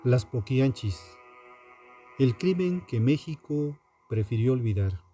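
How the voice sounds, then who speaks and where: A man, a podcast host delivering scripted true-crime narration in a dramatic, deliberate way with pauses. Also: mid-range voice, expressive, dark tone, breathy edge, soft background music.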